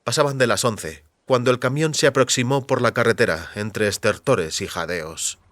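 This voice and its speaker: A man with a Castilian Spanish accent narrating a dramatized audiobook in a measured, deliberate storytelling manner. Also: medium pitch, a bit bright, a little hiss or hum. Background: music under the voice.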